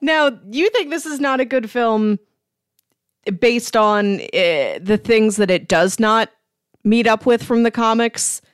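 A woman's voice: on the high side, takes it slowly, clear, resonant tone, no echo, clean studio sound. A woman chatting casually as the co-host of a discussion show. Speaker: podcast co-host. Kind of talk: casual chat.

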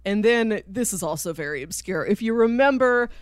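A woman's voice: mid-range voice, expressive, normal speed, no room sound, clean studio sound. A woman hosting a podcast, talking casually and explaining with enthusiasm about a series she enjoys. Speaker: podcast host.

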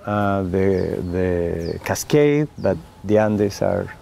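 A middle-aged Chilean man with a gravelly, fairly low voice and a Chilean Spanish accent. He talks very slowly and earnestly, stopping often on fillers and restarting phrases. Faint background noise sits under the recording. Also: animated, warm tone. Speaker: winemaker interviewee. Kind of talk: oral history interview.

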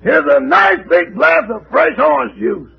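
A man playing a cheerful, wry household servant with a raspy voice in a 1950s radio comedy. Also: very high voice, big pitch swings, a little slow, heavily acted. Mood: solicitous. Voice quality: very raspy, gravelly. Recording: dull, lightly noisy old radio recording.

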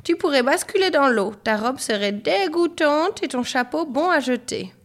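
A woman voicing a strict, easily outraged fairy mother from a storybook, scolding in shrill exclamations. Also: lively intonation, moderate pace. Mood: stern, forbidding.

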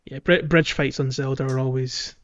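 A man's voice: mid-range voice, steady tone. A man co-hosting a casual gaming podcast, chatty and jokey, in loose conversational talk. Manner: jokey, chatty, quick to laugh. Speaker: podcast co-host. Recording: dull, lo-fi voice recording.

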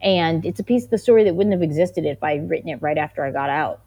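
A woman's voice: sits low, animated, fast, dark tone, husky. A woman giving reflective interview answers in a conversational manner, peppered with "you know" and "like". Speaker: interview guest, investigative journalist and author.

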